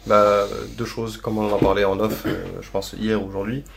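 A man's voice: on the low side, level tone, vocal fry, noisy background. A young man chatting casually on a podcast with friends, who often acts out what other people say. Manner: quick, laughing, acts out others' lines. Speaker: podcast co-host. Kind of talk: casual chat.

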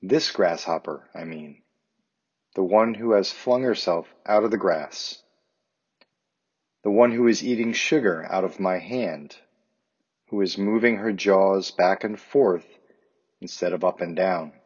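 A man reciting poetry for listeners in a measured, contemplative manner. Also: sits low, breathy edge, muffled recording. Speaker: volunteer poetry reader, teacher.

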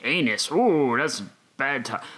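A man's voice: medium pitch, animated, slow, a bit bright. Guy cohosting a comedy podcast, swears a lot, voice swings around, self deprecating and fake outraged. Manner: profane, mock-indignant, self-deprecating. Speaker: podcast co-host. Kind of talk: casual comedic podcast banter.

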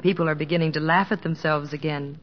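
An actress playing a devoted, sensible middle-aged American wife in an old radio drama, tender, earnest and gently chiding. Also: female voice, low-pitched, fairly even intonation, fast talker, slight background noise, natural voice. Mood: tender, fussing. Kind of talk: 1950s radio drama acting. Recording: muffled old radio recording with music.

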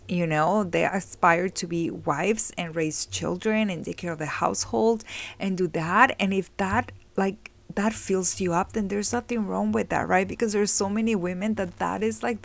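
A middle-aged woman from Mexico, giving an earnest, reflective talk on healing and relationships, often asking "right?" as she goes. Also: on the low side. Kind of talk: casual motivational talk.

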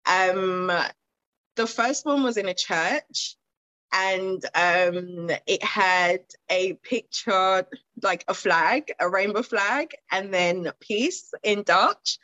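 A woman's voice: mid-range voice, drawn-out words, pushed and bright, no room sound, highs cut off. A young woman from Zimbabwe in her twenties, talking about her poetry in a rambling, casual interview full of fillers. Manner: rambling, with frequent fillers and laughs. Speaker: guest poet.

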